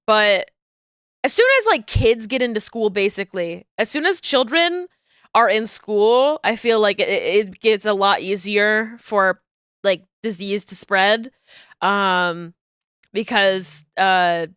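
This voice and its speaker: A woman's voice: mid-range voice, takes it slowly, fairly bright tone, clear, resonant tone, no echo, studio quality. A woman streamer with a cold, sounding congested, chatting casually and rambling before playing a game. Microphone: desk microphone.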